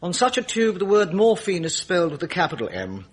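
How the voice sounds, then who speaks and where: Radio drama chemist: assured, didactic expert with a lightly raspy, somewhat high male voice. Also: wildly swooping pitch, fairly quick, full character voice. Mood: confident. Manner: precise, didactic. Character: precise, confident male chemist as expert witness. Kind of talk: radio courtroom drama acting.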